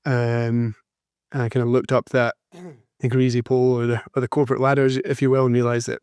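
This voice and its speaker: A man with a mild Scottish accent, answering interview questions as a company CEO, measured and modest, with frequent ums. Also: medium pitch, level tone, darkish tone, smooth, no echo, studio quality. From Scotland. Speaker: startup CEO, guest.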